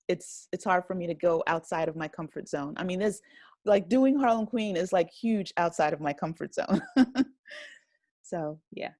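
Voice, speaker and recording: A woman's voice: on the low side, warm tone, no echo, clean studio sound. A woman speaking casually as an interview guest, modest and self-deprecating, laughing easily. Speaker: interview guest, audio drama writer and director.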